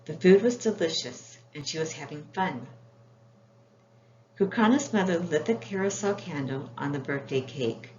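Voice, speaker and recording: A woman's voice: sits low, lively intonation, normal speed, a little mellow. A woman reading scripted children's storybook narration as its author. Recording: echoey room, dull sound, faint noise.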